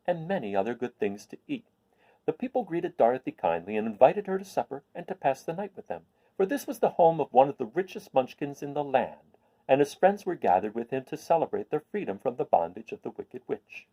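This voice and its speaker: A man reading a storybook aloud as a host, in an expressive, explanatory manner. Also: mid-range voice, low-quality recording. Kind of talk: expressive storybook read-aloud with informal introduction.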